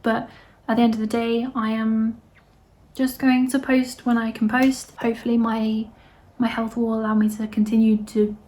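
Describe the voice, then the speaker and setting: A woman, hosting her knitting podcast in a casual, rambling chat full of fillers and restarts, her voice slightly hoarse. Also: on the high side, level tone, normal speed, a little mellow.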